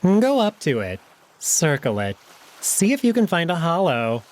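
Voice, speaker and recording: A man's voice: a little high, wildly swooping pitch, measured pace, pitched above the performer's own voice, low-quality recording. A man voicing a big, smug male jackal in a visual novel, amused, taunting and fully in control. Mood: calm, commanding.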